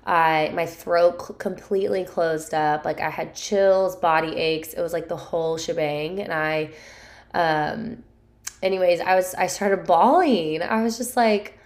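A woman, hosting her podcast solo with a hoarse voice while recovering from a sore throat, rambling candidly with many fillers. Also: fairly low pitch, fairly even intonation, unhurried. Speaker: podcast host. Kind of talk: casual solo podcast chat.

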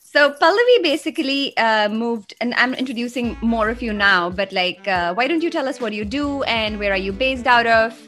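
A woman hosts a podcast with eager enthusiasm and a playful, teasing edge. Her voice sits fairly high. Faint music plays underneath. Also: animated, moderate pace, hardly any pauses, fairly bright tone. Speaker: podcast host. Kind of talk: podcast conversation.

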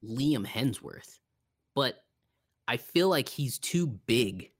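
A man's voice: medium pitch, expressive, slow, breathy edge. A man co-hosting a casual film discussion in an informal, sardonic way. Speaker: podcast co-host.

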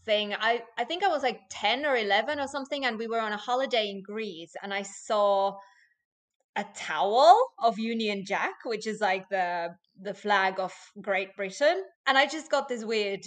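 A woman in her late twenties, a podcast guest talking loudly and with animation in a casual interview, often laughing. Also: medium pitch, normal speed. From Finland. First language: Finnish.

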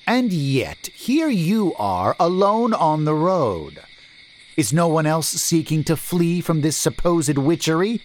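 A man's voice: fairly high pitch, very animated, slow pace, a little hiss or hum, voice pushed up. A man voicing a brusque, suspicious merchant. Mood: skeptical. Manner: brusque, demanding, skeptical. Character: suspicious gruff male merchant leading a wagon.

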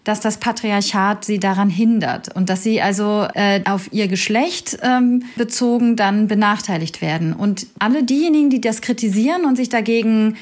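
A woman's voice: mid-range voice, normal speed, few pauses. A woman university lecturer, a guest expert, explaining a topic at length in a thoughtful, hesitant way with many fillers. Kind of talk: explanatory academic talk.

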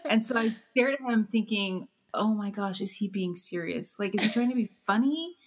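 A woman's voice: mid-range voice, normal speed, background noise. A woman therapist interviewed on a podcast, who talks with dry, self-mocking humor. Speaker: therapist, co-founder. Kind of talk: conversational interview.

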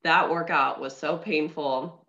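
A woman's voice: low voice, steady tone, unhurried, a bit bright, highs cut off. Congested young woman podcast host. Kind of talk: casual podcast interview.

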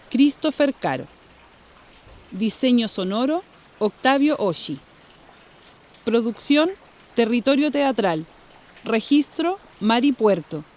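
A woman's voice: a little high, a little mellow. A woman reading scripted production credits as an announcer.

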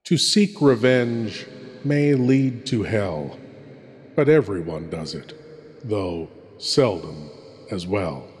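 A man playing a sinister, suavely macabre horror host, speaking theatrically with dramatic, deliberate pauses in a scripted introduction. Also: lowish voice, animated, darkish tone. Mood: macabre relish. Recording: long cavernous hall reverb.

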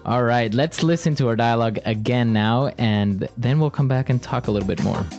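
A man co-hosting an English lesson podcast, upbeat, laughing often, slowing down to model phrases. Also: medium pitch, lo-fi sound, music in the background. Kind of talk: casual teaching chat.

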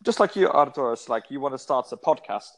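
A man in his twenties chats as an interview guest. His pitch sits in an ordinary middle range. He rambles, with lots of ums, uhs and restarts. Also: expressive, brisk. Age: 20s. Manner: rambling, many fillers and restarts. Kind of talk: casual interview chat.

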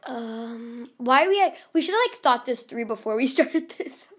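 Young woman, high voice, giggly and rambling, casual vlog host recorded at home. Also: animated, moderate pace, a bit bright, husky. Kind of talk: casual chat with friends.

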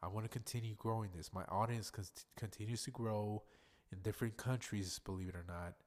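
A man's voice: on the low side, steady tone, a little fast. A man hosting his own podcast, talking alone in a loose, rambling way with some swearing; he is congested and sounds nasal. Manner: rambling, profane, loose and chatty. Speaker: podcast host. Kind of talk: casual solo podcast monologue.

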